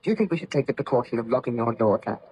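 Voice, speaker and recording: A man's voice: medium pitch, lively intonation, very fast, darkish tone, highs cut off, close to an everyday voice. A man acting an earnest, uneasy ship's officer who defers to his captain, in old-time radio drama style. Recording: faintly noisy vintage radio recording.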